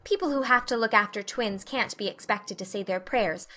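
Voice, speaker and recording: Woman reader doing a chatty dreamy little girl, bright voice, earnest and rambling. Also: medium pitch, normal speed, close to an everyday voice. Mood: reproachful. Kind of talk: audiobook character reading.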